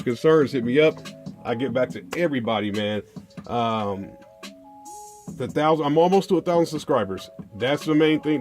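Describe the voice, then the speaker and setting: Low-pitched American man chatting casually over music. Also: darkish tone. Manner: colloquial slangy delivery, often ending phrases with "man". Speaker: car audio video host. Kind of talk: casual unboxing and product chat to viewers.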